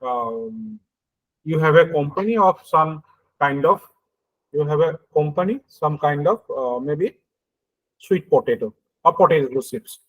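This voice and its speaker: A man with an Indian accent lectures in a fairly high-pitched voice. He explains things through everyday examples, moving haltingly with frequent hesitations and short checks for understanding. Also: animated, muffled recording.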